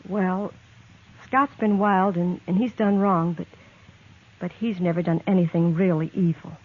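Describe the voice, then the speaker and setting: An American actress playing an earnest, quietly determined young frontier woman in a 1950s Western radio drama. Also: fairly low pitch, fairly even intonation, measured pace. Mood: explaining, sincere. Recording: tinny, narrow-band 1950s radio recording.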